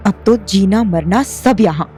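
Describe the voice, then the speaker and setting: An actress voicing a desperate, fierce young wife in a tense, brooding inner monologue with a husky voice. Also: female voice, medium pitch, slow pace, mellow, faint music behind, natural voice.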